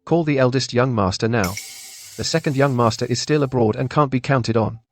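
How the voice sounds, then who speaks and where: A man's voice: medium pitch, a little fast, a little hiss or hum, lightly acted. A man acting as an anxious, earnest lawyer who pleads urgently in a radio drama.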